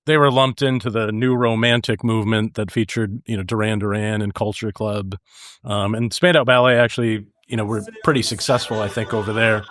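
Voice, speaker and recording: Low-voiced man, dry sound. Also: steady tone, fairly quick, fairly bright tone, no room sound. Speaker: podcast guest. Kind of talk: casual music commentary.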